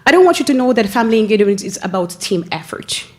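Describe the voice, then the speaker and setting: A woman from Haiti with a Haitian accent, giving an earnest presentation at a school board meeting, often pausing and restarting her phrases. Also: mid-range voice, sing-song. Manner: earnest, with frequent pauses and restarts. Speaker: school family engagement staff member.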